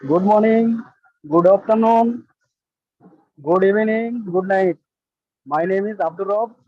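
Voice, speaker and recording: A Bangladeshi man with a Bangladeshi accent speaking fervently and haltingly as a brand ambassador on a webinar. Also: high-pitched, no echo. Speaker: brand ambassador giving testimonial. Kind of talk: halting, emphatic speech to a webinar. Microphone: online video call. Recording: dull lo-fi call audio.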